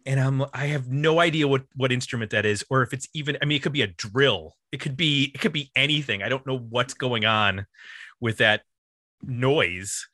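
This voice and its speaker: A man casually discussing music as a podcast host, talking in a hesitant, halting way. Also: mid-range voice, pushed and bright, no echo, studio quality.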